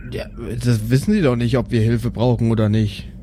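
A man's voice: mid-range voice, fairly even intonation, a little fast, warm tone, a little hiss or hum. A man improvising casually as a player in a tabletop role-play audio drama.

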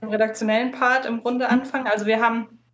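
A woman's voice: a little high, level tone, fast talker, a bit bright. A woman in a casual interview, explaining things at length in long, detailed sentences as a podcast co-host. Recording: roomy, echoey sound.

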